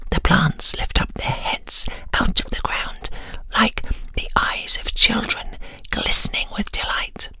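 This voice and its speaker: A woman voicing a gentle, proud young male spirit of spring in a storybook tale. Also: medium pitch, vocal fry, breathy edge, no echo, full character voice. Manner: gentle, lyrical boasting.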